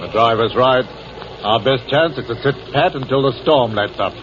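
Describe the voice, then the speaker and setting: A man's voice: mid-range voice, moderate pace, natural voice. An actor playing a level-headed, needling male passenger in an old-time radio drama, with a dry, pointed manner. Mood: measured, needling. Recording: muffled old radio broadcast, faint noise.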